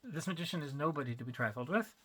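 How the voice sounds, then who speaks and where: A man narrating his own story as an author, in an expressive, emphatic dramatic reading style. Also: on the high side, fast.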